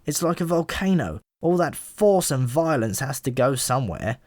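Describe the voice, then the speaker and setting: A man's voice: medium pitch, expressive, moderate pace, a little mellow, no room sound, clean studio sound, lightly acted. A man voicing a chatty, world-weary pigeon in an audiobook, talking in an offhand, frank and matter-of-fact way. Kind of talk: audiobook character voice.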